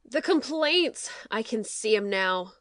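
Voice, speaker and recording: A woman playing a petulant, menacing studio boss who is quick to outrage, in a dramatized story reading. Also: a little high, lively intonation, fairly bright tone, dry recording. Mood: anguished. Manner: imperious, scolding, dramatic.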